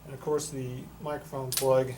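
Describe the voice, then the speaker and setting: A man's voice: mid-range voice, flat delivery, moderate pace, noisy background. A man giving a casual product demonstration as a reviewer, plain-spoken and matter-of-fact. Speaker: product reviewer for a CB radio shop.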